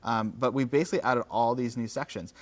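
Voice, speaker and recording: A man giving a casual live software demo, with an enthusiastic, informal, conversational manner. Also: medium pitch, steady tone, fairly quick. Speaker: company executive presenting a livestream.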